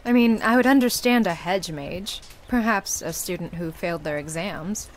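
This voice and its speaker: A woman voicing a sharp-tongued young necromancer in a visual novel, skeptical and sardonic. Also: fairly low pitch, moderate pace, lightly acted. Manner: sardonic, probing.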